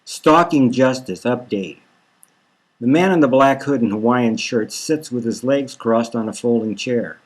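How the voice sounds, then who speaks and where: A man narrates dark, satirical fiction in the first person at a very slow pace. His mid-pitched voice moves in a halting, brooding way, with dramatic weight given to short phrases. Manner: brooding, halting delivery with rhetorical questions. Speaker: author reading his own novel.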